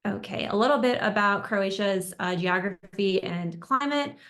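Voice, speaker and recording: A woman's voice: medium pitch, moderate pace, fairly bright tone. A young American woman giving a webinar presentation on a video call, friendly, with many ums and uhs. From United States. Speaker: tour destination expert. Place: indoors at home in a room.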